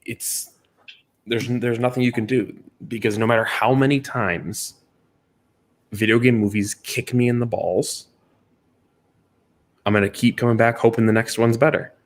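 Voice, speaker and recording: A man chatting casually as a podcast co-host, with a blunt, animated way of talking. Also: mid-range voice, moderate pace, pauses often. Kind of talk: casual chat.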